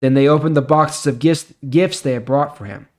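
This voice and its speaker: A man's voice: on the high side, moderate pace. A man hosting a Bible reading series, moving between reading scripture and explaining it in an informal, emphatic way. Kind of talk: scripture reading with casual commentary.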